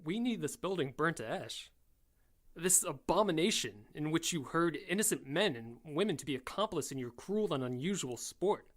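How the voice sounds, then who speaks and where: A man's voice: fairly high pitch, animated, moderate pace, natural voice. A man playing a pompous, fiery young crusader in an audio drama, speaking in grandiose archaic oratory. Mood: outraged. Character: pompous, fiery young male crusader rallying his followers.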